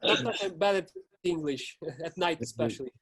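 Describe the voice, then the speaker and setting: A high-pitched man moderates a webinar over a video call. He speaks politely and haltingly, stopping often on small fillers, and thanks each speaker warmly. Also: animated, husky.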